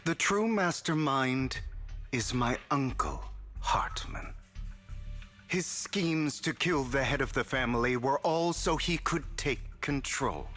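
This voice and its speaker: An actor playing a weary, composed young man haunted by regret in a video game cutscene, speaking in a measured, resolute way. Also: mid-range voice. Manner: measured, resolute, quietly tired. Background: game music.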